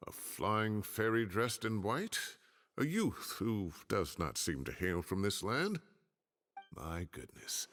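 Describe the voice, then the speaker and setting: An actor playing a fussy but genial retired old professor in a video game, creaky and theatrical as he muses. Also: on the low side, fairly bright tone, full character voice. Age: older. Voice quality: creaky elderly voice. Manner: theatrical, musing.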